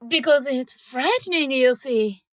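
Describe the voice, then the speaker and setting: A woman acts out a young, inquisitive heroine of a radio play, polite and eager to help. Her high voice is very lively and unhurried, over a music bed. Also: dry recording, studio quality. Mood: curious, hesitant. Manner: bright-eyed, inquisitive, helpful. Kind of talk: audio drama acting.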